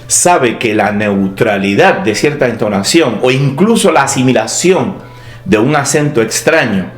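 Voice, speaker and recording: A man from Cuba with a Cuban Spanish accent, softened by years in Madrid, talking through a reflective spoken essay as a podcast host, with ironic asides. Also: mid-range voice. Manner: ironic, lively intonation with wry asides. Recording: roomy echo with soft background music.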